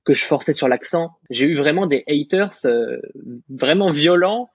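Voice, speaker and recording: Self-assured young French man, husky. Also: fairly high pitch, lively intonation, moderate pace. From France. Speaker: guest content creator. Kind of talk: casual podcast discussion. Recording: slightly muffled dry recording.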